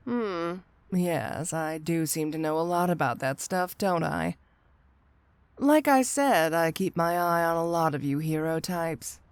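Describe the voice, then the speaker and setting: Woman playing a slow, sultry flirty supervillainess. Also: fairly low pitch, clean tone, no room sound. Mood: sly, flirtatious.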